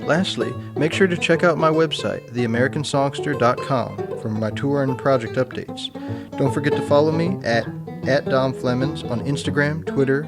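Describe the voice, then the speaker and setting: Male radio host, middle pitch, upbeat scripted outro, loud guitar bed behind. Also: moderate pace. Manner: upbeat, announcer-like delivery. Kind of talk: scripted show sign-off. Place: radio studio.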